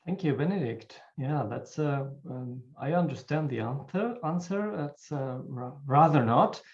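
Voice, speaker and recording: A man's voice: mid-range voice, expressive, measured pace. A man moderates an online seminar, thanking speakers and calling on the next group. His audio is dull and lo-fi. He hesitates, with fillers between his phrases.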